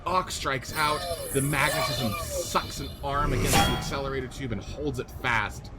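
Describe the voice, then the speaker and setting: A man's voice: mid-range voice, normal speed. Dramatic male narrator over music. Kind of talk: scripted radio play narration, reading stage action.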